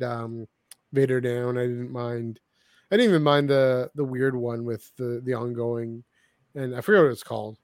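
A man's voice: mid-range voice, a little mellow, no room sound. A man co-hosting a podcast in casual discussion, rambling and often cutting into his own sentences. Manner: halting, self-interrupting, rambling. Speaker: podcast co-host. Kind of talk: casual discussion of franchise books and comics.

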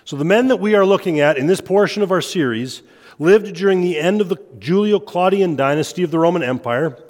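A man with a head cold gives a relaxed evening lecture, joking at his own expense now and then. His voice sits a touch high. It rings out with a long reverb, as if he is speaking in a large hall. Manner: relaxed, self-deprecating, quick to laugh. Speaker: church history lecturer. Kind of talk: informal lecture at a church meeting. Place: church meeting room.

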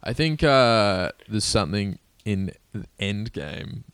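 A man's voice: fairly low pitch, very animated, slow pace, fairly bright tone, clear, resonant tone, dry recording. A man in casual chat about movies, talking freely with swearing and playful quoted lines. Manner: chatty, swears, quotes lines in mock voices.

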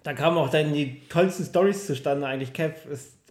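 A man in his thirties speaks as a guest in a casual conversation. His voice sits a little higher than most men's. He tells stories informally, and the room echoes noticeably. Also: fairly quick, a little mellow. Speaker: podcast guest. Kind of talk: casual chat, personal storytelling. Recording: roomy, echoing room.

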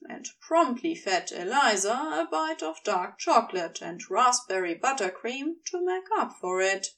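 A slightly distant recording of a woman reading fiction aloud. Her voice is fairly high and very animated, and she narrates expressively as the storyteller. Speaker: podfic reader, narrator.

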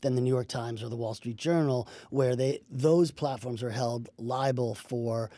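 A man's voice: mid-range voice, steady tone, normal speed, warm tone, no room sound. A man co-hosting a podcast who talks analytically, laying out his points step by step and checking in often with "right?". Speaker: podcast co-host. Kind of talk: casual podcast chat.

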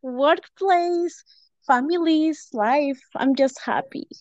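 A woman's voice: high-pitched, slow, darkish tone, no room sound, lo-fi sound. A Peruvian woman with a Spanish accent, a podcast guest who talks effusively and laughs often between phrases. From Peru. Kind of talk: casual podcast conversation.

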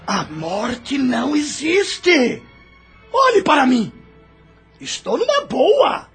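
A man's voice: extremely high pitch, huge pitch swings, very slow, a bit bright, exaggerated voice. A man voicing a menacing, mocking male spirit in a radio drama, drawling his taunts with cackling mirth. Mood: mocking, gleeful. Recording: music behind the voice.

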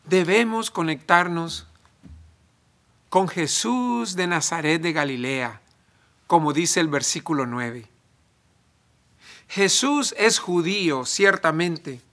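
A man from El Salvador, preaching a sermon in deliberate phrases with emphatic pauses. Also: high-pitched, sharp tone.

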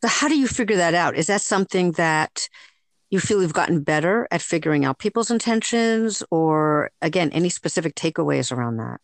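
A woman hosting a podcast, asking long, measured questions that search for the right words. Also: on the low side, expressive, no room sound. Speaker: podcast host. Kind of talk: interview questions.

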